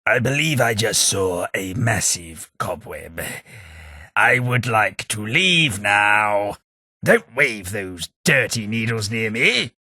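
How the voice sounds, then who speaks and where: A man voicing a posh ghoul gentleman companion in a video game, dry, sardonic and fussy. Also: on the low side, expressive, slow, no room sound, full character voice. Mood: wry. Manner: dry, sardonic, prim understatement.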